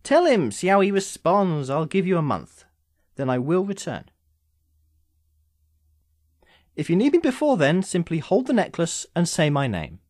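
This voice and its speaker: A man's voice: fairly high pitch, very animated, darkish tone, no room sound, studio quality. Man acting a suave, theatrical immortal sorcerer. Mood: coaxing, teasing. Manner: measured, theatrical, formal phrasing. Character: immortal male sorcerer, suave, teasing, bound by contract. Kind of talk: audio drama acting.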